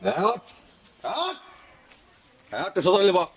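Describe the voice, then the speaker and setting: A man's voice: sits high, big pitch swings, moderate pace. A man playing an irritable village exorcist guru in a comedy horror drama, scolding and giving brusque commands. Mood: bossy. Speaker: actor.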